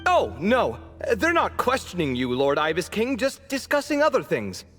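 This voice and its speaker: A man's voice: sits high, wildly swooping pitch, moderate pace, fairly bright tone, soft background music, full character voice. A man playing a courteous, placating companion in a video game, with a reverberant recording. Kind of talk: video game character acting.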